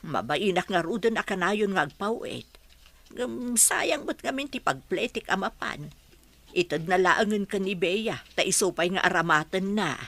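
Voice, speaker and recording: A woman's voice: medium pitch, animated, moderate pace, fairly bright tone. A woman with an Ilocano Filipino accent playing an anxious wife and mother fretting over her sick husband in a radio drama. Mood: concerned.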